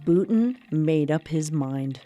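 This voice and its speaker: Mellow American radio drama narrator. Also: medium pitch, lively intonation, slow pace, faint music behind.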